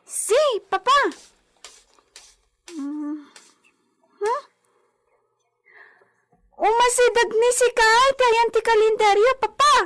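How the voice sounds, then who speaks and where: Very high-pitched curious little girl. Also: fairly bright tone, slight background noise, exaggerated voice. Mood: eager, curious. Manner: childlike, simple questioning, counts slowly. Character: little girl, eager, curious young daughter. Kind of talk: Filipino audio drama acting.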